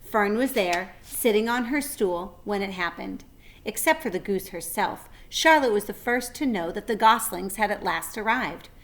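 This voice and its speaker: A woman's voice: medium pitch, animated, moderate pace, a bit bright. Woman reading a children's storybook aloud. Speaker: read-aloud narrator.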